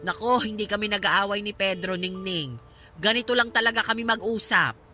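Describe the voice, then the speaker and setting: A woman's voice: mid-range voice, a little fast, sharp tone, faint music behind, close to an everyday voice. A woman with a Filipino accent acting in a radio drama as a caring, outspoken friend and mother, emphatic and coaxing. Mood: reassuring, flustered.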